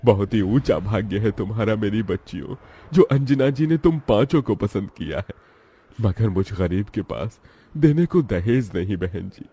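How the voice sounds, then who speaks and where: A man's voice: lowish voice, lively intonation, moderate pace, mellow, slightly rough. A man voicing a poor, humble old village father in a cartoon story, earnest and pleading in manner. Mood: grateful, humble.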